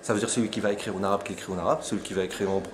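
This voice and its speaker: A man's voice: fairly low pitch, fairly even intonation, racing. A man in his forties from Morocco, giving his view in an interview, hesitating and restarting his phrases while insisting on finishing his point. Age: 40s. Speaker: interviewee, conference attendee. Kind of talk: spontaneous debate interview. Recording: crowd noise behind.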